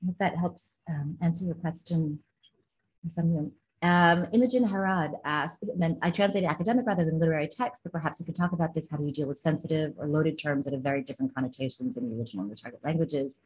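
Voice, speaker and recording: A woman's voice: deep voice, fast, darkish tone, rough recording. A woman literary translator on an online panel, reading out audience questions and answering thoughtfully with frequent ums and restarts, speaking over a laptop video call from her home living room.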